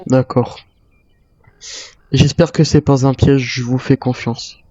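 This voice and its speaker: Man, mellow voice, wary survivor role-play, video game, muffled roomy recording. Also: medium pitch, flat delivery, slow pace. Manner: speaks in character as a wary survivor. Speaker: player role-playing a zombie apocalypse survivor. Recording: muffled lo-fi mic, roomy echo.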